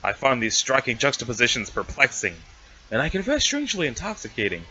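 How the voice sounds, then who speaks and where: A man's voice: medium pitch, big pitch swings, a little fast, pushed and bright, highs cut off, slight background noise. A man voicing a pompous, formal alien aristocrat with stilted, wordy diction in an amateur comic reading. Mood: perplexed, disdainful.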